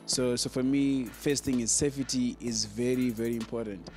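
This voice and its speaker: A man's voice: medium pitch, little pitch movement, normal speed, darkish tone, soft background music. A man giving an informal explainer as a sound engineer, earnest and emphatic, often repeating words as he goes. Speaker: sound engineer and video host giving advice.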